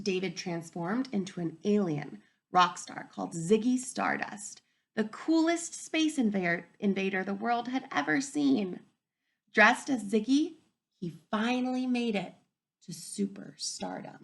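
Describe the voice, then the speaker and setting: Soft-spoken teacher woman with gravelly edges. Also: medium pitch. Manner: gentle and encouraging, often dropping to a soft whisper. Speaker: kindergarten teacher. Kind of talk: read-aloud storybook lesson for young children.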